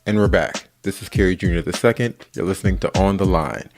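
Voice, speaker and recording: A man hosting a news podcast, reading his narration in a brisk, measured way. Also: deep voice, music in the background. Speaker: podcast host.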